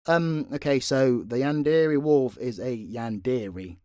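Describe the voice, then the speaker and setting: A man's voice: medium pitch, slow, a little mellow, no room sound, studio quality. A British man answering fan questions in a casual, unscripted way, blunt and joking, with plenty of swearing. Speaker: voice actor and content creator answering fan questions.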